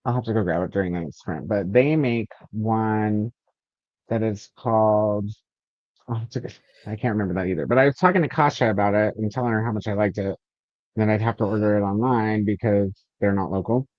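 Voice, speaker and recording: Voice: mid-range voice, a little fast, dry recording, dull audio. A host chatting casually and reading out chat on a livestream, with a joking, sweary way of talking.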